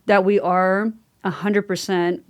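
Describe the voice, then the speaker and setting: A woman's voice: mid-range voice, little pitch movement, takes it slowly. A woman podcast host in casual conversation, speaking in an affirming, supportive way with informal phrasing. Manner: affirming, frequent short agreements. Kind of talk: casual supportive interview chat.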